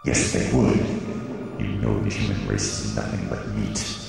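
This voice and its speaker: An extremely deep, gravelly evil presence for an audio drama. It is paranoid and commanding, menacing in every line, and it booms out of a long cavernous reverb. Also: male voice, sing-song, a little slow, darkish tone, faint music behind, full character voice. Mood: menacing, persuasive. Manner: commanding, sinister. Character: menacing paranoid voice of an entity inside a boy's head.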